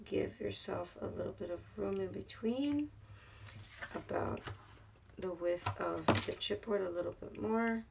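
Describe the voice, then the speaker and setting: Woman, hoarse, gravelly, chatty craft tutorial host, home table, audible background noise. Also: medium pitch, unhurried. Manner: chatty, talks while working with her hands. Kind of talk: casual craft tutorial narration.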